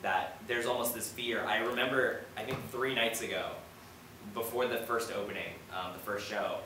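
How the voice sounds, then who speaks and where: A young man speaking as a playwright on a discussion panel, answering questions in an informal, halting, reflective way. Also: medium pitch, fairly even intonation, pushed and bright. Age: young adult. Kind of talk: audience Q&A panel talk. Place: echoey indoor theater. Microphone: distant camera mic. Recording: echoey room, distant mic, noisy background.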